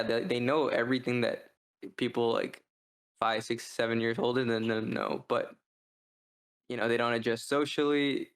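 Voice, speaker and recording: A man co-hosting a casual review discussion. His pitch stays very even and barely moves. He thinks aloud, working through points and often tagging them with a conversational "right". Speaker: podcast co-host.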